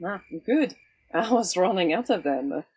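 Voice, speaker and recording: A woman's voice: medium pitch, animated, a little slow, a little mellow, a little hiss or hum, close to an everyday voice, low-quality recording. A woman playing a cool, wry swashbuckling vigilante with a sly, teasing, theatrical manner in an audio drama. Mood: musing.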